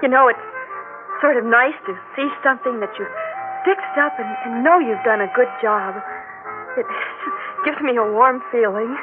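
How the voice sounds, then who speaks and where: Actress as a high-voiced, girlish teenage daughter. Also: normal speed, pauses often, over loud music, lightly acted. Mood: shyly content. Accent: American. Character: earnest teenage daughter, mildly grumbling but affectionate. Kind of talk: 1960s radio drama acting. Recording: dull vintage 1960s radio recording.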